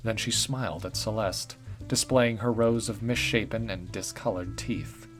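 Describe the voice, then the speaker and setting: A man narrating a written story in a steady, even storytelling read. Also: on the low side, unhurried. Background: faint guitar music.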